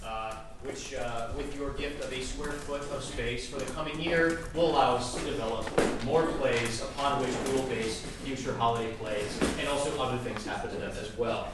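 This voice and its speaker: A man's voice: medium pitch, fairly even intonation, normal speed, few pauses. A man making informal, rambling, wry announcements to an audience as host of a live play reading. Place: live event room. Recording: very echoey live room, distant mic, noisy.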